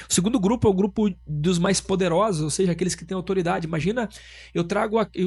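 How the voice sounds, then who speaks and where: Southern Brazilian businessman guest, husky and high-pitched, emphatic podcast talk. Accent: Southern Brazilian Portuguese (Rio Grande do Sul). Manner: emphatic, asks rhetorical questions. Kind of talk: casual podcast conversation.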